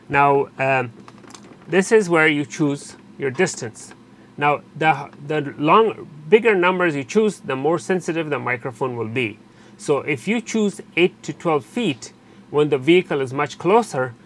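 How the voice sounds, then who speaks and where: Man giving a tutorial over background noise. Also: mid-range voice. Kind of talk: unscripted step-by-step instructional narration. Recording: steady background noise, room ambience.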